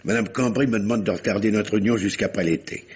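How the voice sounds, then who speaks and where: An actor playing a jovial, chatty older magistrate in a vintage French radio mystery drama, genial and exclamatory. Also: male voice, fairly low pitch, steady tone, quick pace, darkish tone, slightly rough. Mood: teasing, confiding. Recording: dull old lo-fi radio recording.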